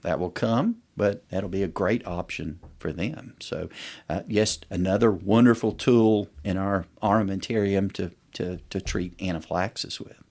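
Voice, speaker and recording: Low-voiced man explaining as a medical expert. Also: normal speed, darkish tone. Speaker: allergist, podcast guest.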